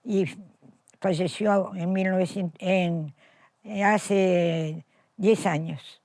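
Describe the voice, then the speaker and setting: A woman's voice: lowish voice, steady tone, slow pace, darkish tone, no room sound, studio quality. A woman in her eighties with an Italian accent, reflectively telling her life story in a recorded interview, often correcting herself as she goes. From Macedonia. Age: 80s. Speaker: interviewee, Holocaust survivor.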